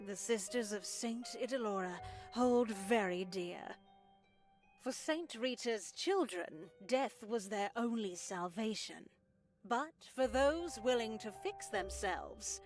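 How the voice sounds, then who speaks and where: A woman voicing a stern, composed nun in a horror visual novel, speaking in a measured, patronizing way. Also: fairly high pitch. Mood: composed, instructive. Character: stern, composed nun in charge of spiritual formation. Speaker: voice actor. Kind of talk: visual novel character acting.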